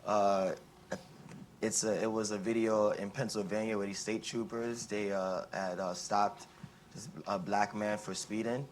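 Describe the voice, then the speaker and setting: Young guy in the audience, lowish voice, lots of um and uh, rambling question, faint hiss. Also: flat delivery. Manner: halting, many fillers and restarts. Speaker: audience member asking a question. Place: conference room with an audience.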